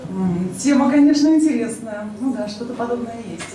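A woman's voice: fairly high pitch, lively intonation, a little fast, warm tone. A woman in her thirties reciting poetry on stage in a playful, theatrical way. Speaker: poet. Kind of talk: expressive live poetry recital. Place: rock club stage, indoors. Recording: very echoey club, noisy, distant pickup.

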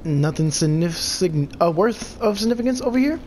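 A man's voice: sits high, lively intonation, normal speed, a little mellow, slight background noise. Congested young man swearing through gameplay. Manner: loose, profane trash talk at enemies. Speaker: let's play host. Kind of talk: casual gameplay commentary.

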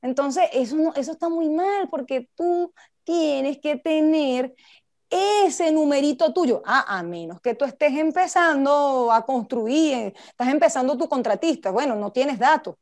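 A woman's voice: high-pitched, expressive, a little slow, darkish tone. A woman with a Venezuelan accent giving an informal lecture, talking conversationally and putting questions to her listeners. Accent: Venezuelan Spanish. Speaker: project management instructor and speaker.

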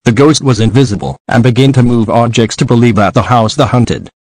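A man reading an emergency alert in a flat monotone. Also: medium pitch, a little fast, slight background noise. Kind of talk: scripted emergency broadcast reading.

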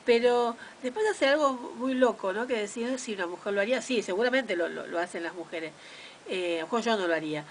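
A woman's voice: mid-range voice, fairly quick, slight background noise. A woman with an Argentine accent hosting a radio interview, chatty and often repeating words as she talks. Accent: Argentine Spanish. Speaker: radio host. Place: small radio studio.

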